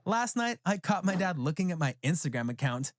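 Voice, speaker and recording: A man narrating a scripted animated story as a proud, resentful teenage boy. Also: high-pitched, brisk, no echo.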